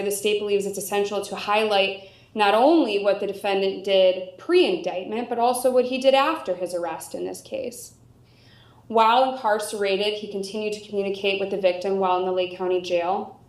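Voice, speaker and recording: A woman with an American accent making a formal courtroom argument as a prosecutor, in a measured, articulate manner. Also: medium pitch, darkish tone. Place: indoor courtroom. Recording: echoey room sound.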